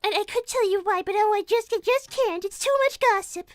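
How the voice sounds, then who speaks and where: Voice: very high-pitched, a little fast, a bit bright, dry recording, studio quality. A woman voicing a prim, polite little girl rabbit who speaks in a proper, formal way and loves gossip. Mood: gossipy. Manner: very proper, formal and dainty speech.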